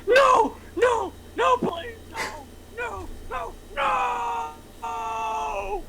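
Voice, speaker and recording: A terrified middle-aged man pleading for mercy in a table read. The voice is extremely high and shouted, drawn out, over clear noise. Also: drawn-out words, full character voice. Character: terrified screaming man in his forties. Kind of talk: amateur script table reading.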